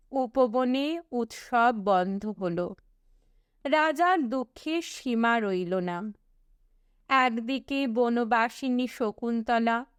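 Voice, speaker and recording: A woman narrating a storybook as an audiobook reader, in a measured, expressive storytelling manner with deliberate breaks between phrases. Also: on the high side, clean tone, no room sound, clean studio sound.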